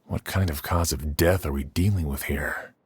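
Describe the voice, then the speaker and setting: Composed investigator, very low husky voice, dry close sound. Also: male voice, no room sound, full character voice. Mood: measured. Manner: measured, methodical questioning. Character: calm, deep-voiced male investigator. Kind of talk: game voice acting.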